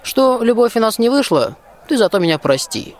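A man's voice: very high voice, big pitch swings, normal speed. A young man playing a bold, plain-spoken soldier in a rhymed folk-tale radio play, with a declamatory folksy delivery. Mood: consoling, boastful. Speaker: student actor in a school radio play.

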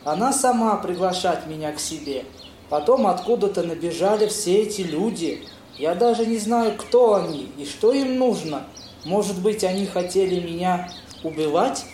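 Guy acting a flustered polite young man, high voice, broken grammar, echoey with faint birds. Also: animated, mellow. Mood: indignant, rattled. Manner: halting, ungrammatical foreigner's speech. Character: courteous young Italian man, flustered, speaking broken Russian. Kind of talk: amateur audio play acting. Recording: roomy echo, noisy birdsong ambience.

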